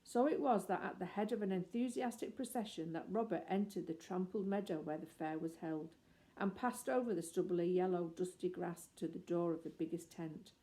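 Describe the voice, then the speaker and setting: Mellow-voiced woman reading a storybook. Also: lowish voice, normal speed, poor audio. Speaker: audiobook reader. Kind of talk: expressive storybook narration.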